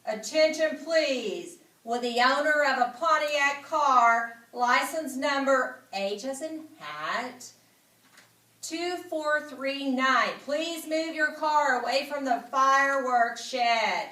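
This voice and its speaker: A woman voicing a fairground loudspeaker announcer in a storybook, loud, emphatic and drawn-out. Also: high voice, animated, pitched above the performer's own voice, exaggerated voice. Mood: commanding. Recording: echoey room, distant mic.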